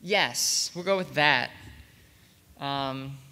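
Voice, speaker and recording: A man talks on a panel in a reverberant hall. His voice is bright and clean, and he feels his way through sentences with a hesitant, wandering delivery. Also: medium pitch, very slow, clean tone. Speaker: convention panelist, production team coordinator. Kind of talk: informal panel talk. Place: convention panel room with audience. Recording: echoey hall with long reverb.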